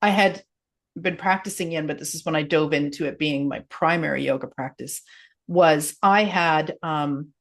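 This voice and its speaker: A woman hosts an informal podcast in a slightly low-pitched voice. She talks in a chatty, expressive way, as in a relaxed conversation with a guest. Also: moderate pace. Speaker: podcast host.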